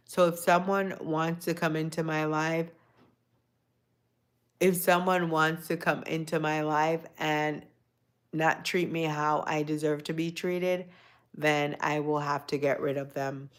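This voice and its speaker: A woman's voice: deep voice, flat delivery, a little slow. A woman of Jamaican descent hosting a casual livestream, talking reflectively to her viewers and greeting them by name. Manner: reflective, talks to chat viewers by name, repeats phrases. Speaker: livestream host. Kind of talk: casual live chat with viewers.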